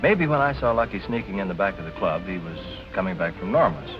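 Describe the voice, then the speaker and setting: A male actor as a very low-voiced film noir husband, earnest and on the defensive. He speaks in clipped 1940s screen style, with music playing clearly behind him on an old soundtrack. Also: quick pace, natural voice, rough recording. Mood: concerned.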